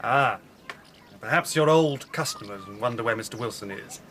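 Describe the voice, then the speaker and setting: An actor playing a sly, ingratiating pawnbroker's assistant in a radio drama, polite and insinuating. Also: male voice, medium pitch, moderate pace, fairly bright tone, a little hiss or hum, natural voice. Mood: politely probing. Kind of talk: British radio drama acting.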